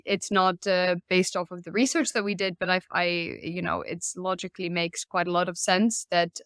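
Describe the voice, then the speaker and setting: Smooth-voiced woman hosting. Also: on the low side, little pitch movement, normal speed, fairly bright tone, dry recording, clean studio sound. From Finland. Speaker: host. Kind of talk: casual podcast discussion.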